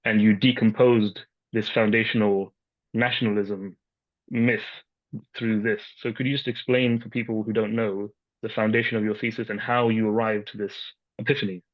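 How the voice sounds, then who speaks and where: A man's voice: lowish voice, flat delivery, moderate pace, highs cut off. A man interviewing a guest, building up a long question fluently and step by step. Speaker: interviewer, host.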